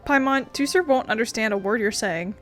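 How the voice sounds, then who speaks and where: Slangy woman streamer, slightly high voice. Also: fairly quick. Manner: rambling, slangy, swears casually. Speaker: game streamer. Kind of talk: casual let's play commentary. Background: faint game music.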